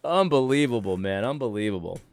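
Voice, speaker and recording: A man's voice: mid-range voice, expressive, fairly quick, no room sound. A married man from Connecticut, hosting a casual reaction commentary with many restarts and mocking asides. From Connecticut, USA. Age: adult, married. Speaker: commentary host reacting to a clip.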